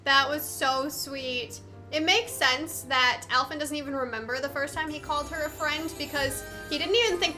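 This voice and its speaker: A woman's voice: sits high, bright, forceful tone. A young woman hosting a let's play, giving casual, sentimental, gushing commentary as she goes.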